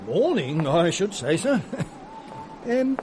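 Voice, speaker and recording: An actor playing a suspicious Victorian police constable with an English accent, deferential but firm, speaking in working-class dialect. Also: male voice, high-pitched, big pitch swings, slow, darkish tone, slight background noise, heavily acted. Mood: puzzled, suspicious. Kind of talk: radio comedy drama.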